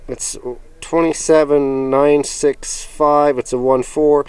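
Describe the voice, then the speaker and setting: A man's voice: on the high side, flat delivery, slow, a little mellow, clear, resonant tone, dry recording. Folksy man giving a hands-on demo. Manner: folksy, rambling, thinking aloud. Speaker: host of a radio gear review channel. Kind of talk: casual hands-on product demonstration.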